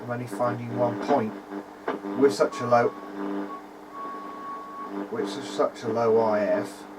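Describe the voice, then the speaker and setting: A man giving informal, halting commentary to himself while working at a bench, in short muttered remarks. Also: mid-range voice, fairly even intonation. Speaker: hobbyist radio repairer. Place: indoors at a workbench. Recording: loud electrical hum and interference noise. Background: loud hum, buzzing interference.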